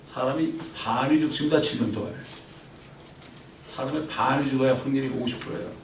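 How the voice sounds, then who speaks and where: A middle-aged man preaching a sermon, swinging between loud emphatic peaks and quiet asides and asking the congregation questions. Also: mid-range voice, fairly quick, slight background noise. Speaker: pastor. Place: church sanctuary. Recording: roomy church echo from far off.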